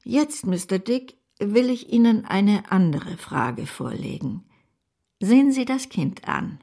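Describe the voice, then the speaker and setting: An actress in a radio play voicing a brusque, eccentric older aunt, emphatic and commanding with a creaky edge. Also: female voice, medium pitch, animated, takes it slowly, dark tone, heavily acted. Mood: brisk, decided.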